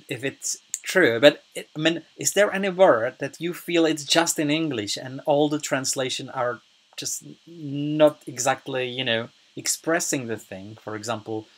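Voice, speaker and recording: A man's voice: medium pitch, fairly bright tone. Czech-accented man asking questions. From Czech Republic. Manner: hesitant phrasing, frequent "like" and "you know". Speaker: host, interviewer. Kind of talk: casual interview questions.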